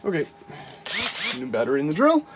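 A man's voice: fairly high pitch, huge pitch swings, brisk, music in the background. A man casually narrating his hands-on DIY work in a workshop, thinking aloud as he goes. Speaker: maker narrating a DIY build. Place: indoor cluttered home workshop. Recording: noisy workshop camera recording.

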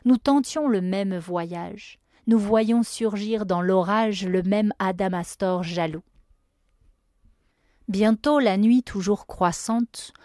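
A woman reciting a literary poem with measured, expressive phrasing. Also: mid-range voice, no echo, studio quality. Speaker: poetry reader.

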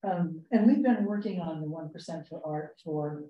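A woman leading a committee meeting, explaining items in a halting, measured way. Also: deep voice, highs cut off, a little hiss or hum. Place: indoor meeting room. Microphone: distant room microphone. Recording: roomy, distant meeting-room microphone.

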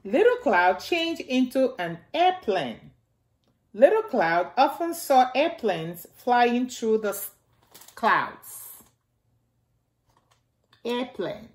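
A middle-aged woman reads a picture book aloud for very young children. Her voice sits a little high and swings widely in pitch. She takes her time, phrasing simply and repeating key words. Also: very animated, slow, long pauses. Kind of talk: read-aloud storytelling for small children. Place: indoors in a home, beside a staircase.

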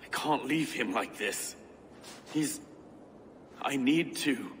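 Animated, earnest medieval official. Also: male voice, medium pitch, slow. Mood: troubled, urgent. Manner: expressive, eloquent, earnest. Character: earnest, anxious male medieval London official. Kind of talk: dramatic game cutscene acting. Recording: reverberant hall, faint ambient noise.

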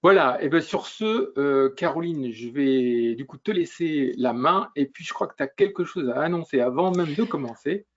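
Guy moderating a webinar, calm explainer, dull call audio. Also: a little high. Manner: measured, explanatory, some hesitations. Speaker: education consultant and webinar moderator. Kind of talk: webinar presentation. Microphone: computer mic on a video call.

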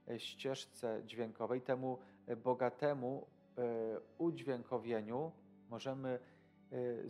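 A man in his thirties speaking as a priest in devotional commentary, deliberate and halting. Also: medium pitch, darkish tone. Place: indoor studio.

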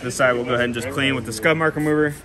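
A man's voice: medium pitch, steady tone, racing. Man demonstrating, busy room behind him. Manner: plainspoken, step-by-step explaining. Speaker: product demonstrator. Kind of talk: casual hands-on product demonstration. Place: large carpeted indoor venue. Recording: busy public room with background chatter. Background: crowd murmur.

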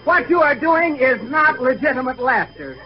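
An actor playing a stuffy, long-winded pedant in a bookish manner on a 1930s radio comedy. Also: male voice, extremely high pitch, very animated, moderate pace. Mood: pompous, insistent. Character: stuffy pedantic man lecturing on proper laughter. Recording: muffled, noisy 1930s radio, audience behind.

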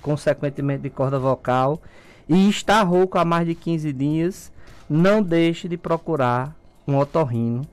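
A man's voice: a little high, unhurried. A middle-aged man with a Brazilian accent, an ENT doctor explaining things step by step as a guest in a radio studio, speaking through a face mask. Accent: Brazilian Portuguese. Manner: didactic, calm explaining. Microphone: studio mic, wearing a face mask.

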